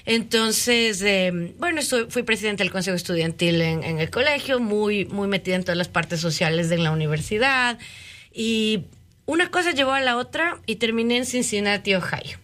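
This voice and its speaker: A woman's voice: mid-range voice, expressive, moderate pace, fairly bright tone. Chatty Ecuadorian woman. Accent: Ecuadorian Spanish. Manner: chatty, eager storytelling. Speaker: guest. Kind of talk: radio interview.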